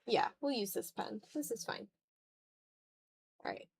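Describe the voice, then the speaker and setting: A woman's voice: on the high side, unhurried, gravelly, breathy edge. A woman livestreamer chatting casually while drawing, thinking aloud in fragments with a self-deprecating manner. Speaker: art livestreamer.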